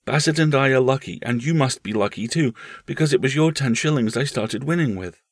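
A man's voice: medium pitch, brisk, no room sound, studio quality, voice pushed up, natural voice. A man voicing a grave, secretive young boy with a polite, earnest manner in a storybook reading. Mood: serious, secretive. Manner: solemn, earnest, careful.

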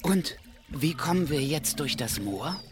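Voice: medium pitch, expressive, a little slow, lightly acted. A performer voicing a timid, whiny little creature in a children's radio play. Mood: fearful. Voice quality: whiny, fearful manner. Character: small, timid, whiny creature who gets scared easily. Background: loud music score.

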